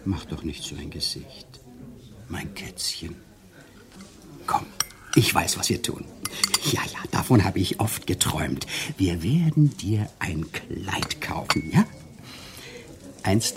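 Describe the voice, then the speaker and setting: An actor playing a smooth-talking, self-pitying conman lover in a German radio crime drama, intimate and theatrical, often dropping to murmurs. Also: sits low, animated, takes it slowly, long pauses, noisy background, exaggerated voice. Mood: seductive.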